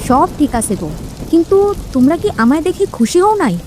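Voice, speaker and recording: A woman voicing a brisk, scolding village mother in a folk-tale cartoon, bossy and calling out. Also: high voice, sing-song, a little mellow. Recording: noisy rainy ambience behind voice.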